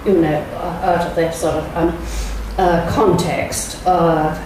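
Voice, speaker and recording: An older woman from England giving a lecture in a large church, speaking thoughtfully and pausing often with ums. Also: lowish voice, steady tone. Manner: slow, thoughtful delivery with frequent ums. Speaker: guest lecturer. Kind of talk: church lunchtime lecture, reading quotations aloud. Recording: very echoey church, speaker heard from a distance, faint background noise.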